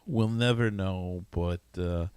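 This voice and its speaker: A man's voice: sits low, darkish tone, smooth, no echo. Man hosting a casual sports podcast, close-miked. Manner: halting, conversational. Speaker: podcast host.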